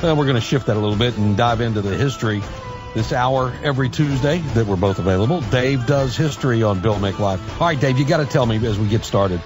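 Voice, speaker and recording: A man's voice: medium pitch, fast, over music. An American man hosting a morning talk radio show in a casual, chatty style. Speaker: radio host.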